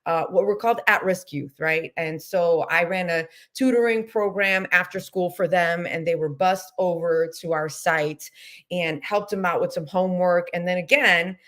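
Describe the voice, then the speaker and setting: A woman's voice: on the low side, normal speed, fairly bright tone. A woman educator in her thirties, a guest on an interview, telling her story in a casual, reflective way with many fillers.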